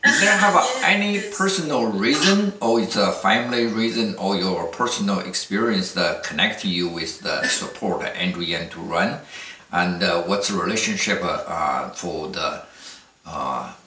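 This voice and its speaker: Male podcast host, deep bright-edged voice, halting non-native English, conversational questioning, roomy distant noisy recording. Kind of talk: conversational interviewing. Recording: roomy, distant mic with noise.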